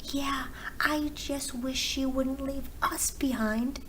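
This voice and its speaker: A woman voicing a brave, gentle, eager young girl in a storybook, with a breathy, reassuring manner. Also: high-pitched, steady tone, slow pace, a little hiss or hum, pitched above the performer's own voice. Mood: wistful, urging. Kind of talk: storybook character reading.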